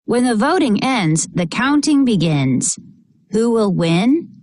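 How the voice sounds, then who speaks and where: A woman reading a children's picture book aloud in a measured, expressive way, narrating and acting out short bits of dialogue. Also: a little high, dry recording.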